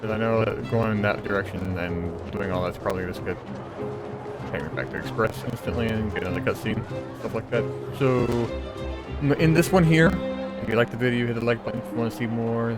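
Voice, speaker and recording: A man's voice: fairly low pitch, brisk. A man giving casual, informal let's-play commentary, sometimes mumbling through text as he plays. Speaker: video game streamer. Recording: game music behind the voice.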